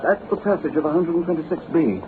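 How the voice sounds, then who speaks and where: An actor playing an earnest, deferential young English clerk with a polite, emphatic manner in an old-time radio drama. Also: male voice, on the high side, fast, lightly acted. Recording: tinny, noisy old radio recording.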